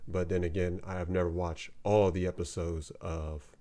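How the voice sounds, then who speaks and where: A man's voice: deep voice, little pitch movement, moderate pace, mellow. A man giving offhand, joking reaction commentary while watching a dating show. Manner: offhand, wisecracking.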